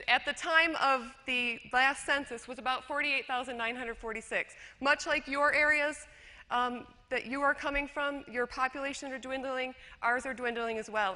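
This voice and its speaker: A woman's voice: high voice, bright, forceful tone. A woman educator from rural western New York giving a chatty, self-deprecating conference talk with slides, full of fillers and restarts. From rural western New York, USA. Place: lecture hall. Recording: long hall reverb.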